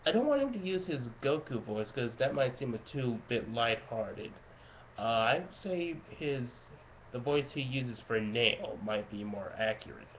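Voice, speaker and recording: Halting man on muffled, noisy mic. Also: medium pitch, big pitch swings. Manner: halting, searching for words. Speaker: fan video creator. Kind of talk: casual unscripted commentary. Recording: muffled lo-fi home mic, background noise.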